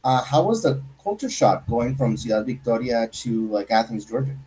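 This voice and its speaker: Man hosting, mellow voice. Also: medium pitch, moderate pace, low-quality recording. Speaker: host. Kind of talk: casual interview.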